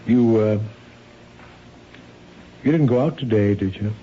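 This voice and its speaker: An actor playing a mild, easygoing husband in a radio drama, gentle and a little hesitant. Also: male voice, lowish voice, unhurried, lightly acted. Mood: mild, probing. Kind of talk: radio mystery drama acting. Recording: dull, hissy old radio recording.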